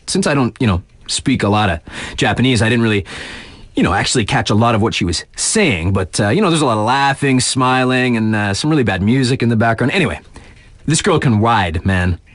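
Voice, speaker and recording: A young snowboard radio DJ for a video game. His delivery swings widely in pitch, slangy and playful as he hypes up the riders. The sound is close and dry, studio-clean. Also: no room sound. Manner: slangy, playful, conversational hype. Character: laid-back, excitable young male snowboard radio DJ. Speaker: radio DJ and sports announcer.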